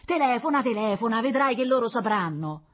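A woman playing a shrill housewife yelling down from her balcony, bossy and urgent, her voice climbing high and breaking into a husky edge. She gives orders with no patience for questions. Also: expressive, brisk. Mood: insistent. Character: panicky woman shouting from a balcony.

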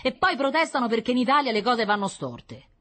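A man's voice: very high-pitched, very animated, a little fast, bright, forceful tone, pitched above the performer's own voice, heavily acted. A woman voicing a grumbling middle-aged male butcher, complaining to his wife. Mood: indignant. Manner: grumbling, indignant.

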